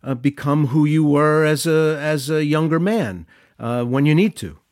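Man around sixty, slightly high voice, halting thoughtful interviewer, dry recording. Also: darkish tone, no echo. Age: middle-aged or older, around 60. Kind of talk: conversational interview questioning.

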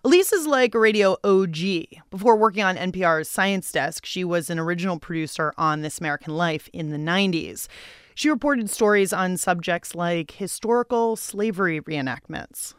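A woman's voice: on the low side, normal speed, clean tone, no echo, clean studio sound. Chatty woman hosting a radio show. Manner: casual, slangy, addresses listeners as pals.

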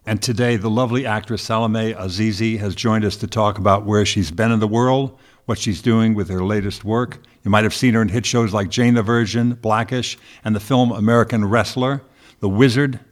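A man's voice: on the low side, steady tone, normal speed. A man co-hosting a podcast, reading the show intro and dropping dry, joking asides. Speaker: podcast co-host.

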